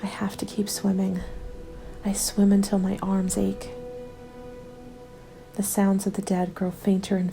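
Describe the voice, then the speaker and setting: A woman narrating a scripted first-person ASMR story in a soft, measured, often whispery delivery. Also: mid-range voice, vocal fry, low-quality recording. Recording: background music under the voice. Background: music.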